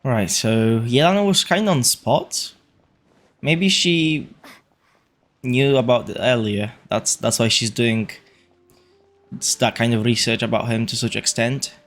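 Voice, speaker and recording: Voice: medium pitch, unhurried, pauses often. A video game streamer giving casual, off-the-cuff livestream commentary, muttering reactions to the game.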